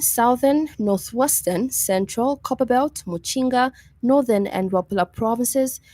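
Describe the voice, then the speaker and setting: A woman's voice: mid-range voice, mellow, dry recording. A woman with a Zambian accent reads a scripted news bulletin as a radio presenter. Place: radio studio.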